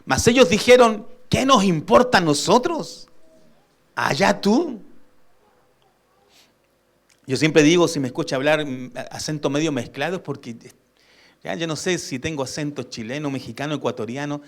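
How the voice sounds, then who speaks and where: Emphatic, higher-voiced male pastor preaching. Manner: emphatic preaching that asks the congregation for responses; stretches key words slowly for weight. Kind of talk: sermon.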